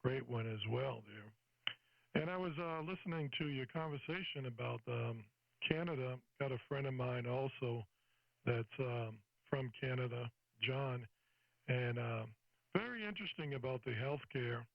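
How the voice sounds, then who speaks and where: A man's voice: medium pitch, a little slow, gravelly, no echo, highs cut off. An older American man chatting casually and at length as a ham radio operator, handing over with radio procedure words. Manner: chatty, says "over" to hand off. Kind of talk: casual two-way radio chat.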